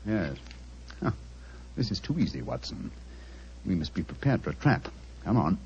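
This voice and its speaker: A composed British gentleman detective, incisive and coolly deductive. The voice is fairly low and speaks with precise authority, as in classic radio drama. A faint hiss sits on the dull old recording. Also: very animated, normal speed. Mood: wary, alert. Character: calm, incisive gentleman detective in Victorian London.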